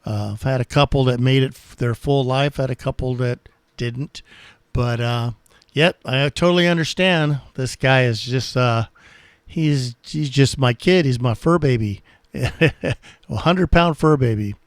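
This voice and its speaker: A man's voice: medium pitch, a little slow, dry recording, clean studio sound. A man in his sixties with an American accent, chatting casually as an amateur radio operator. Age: 60s. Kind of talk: casual ham radio chat.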